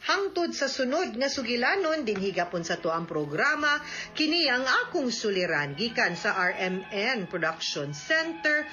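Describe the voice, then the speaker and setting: A woman's voice: fairly high pitch, very animated, moderate pace, pushed and bright, lo-fi sound, soft background music. A woman with a Cebuano accent giving legal advice to radio listeners in an emphatic, instructive, conversational way. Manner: emphatic, instructive, checking with tag questions.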